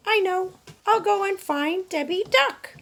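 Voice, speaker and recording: A woman's voice: extremely high pitch, unhurried, creaky, a bit bright, a little hiss or hum, pitched above the performer's own voice, full character voice. A woman voicing an eager, easily saddened mother hen in a children's storybook. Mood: eager, friendly.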